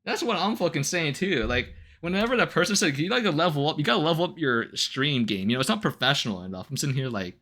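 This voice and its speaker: A male live streamer with a bright, slightly high voice talks off the cuff to his viewers. His pitch moves around a lot as he rants in an incredulous, loose and sweary style. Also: fast talker, hardly any pauses. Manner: animated, incredulous, heavy casual swearing; laughs often between remarks. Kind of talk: casual livestream chat, ranting to viewers.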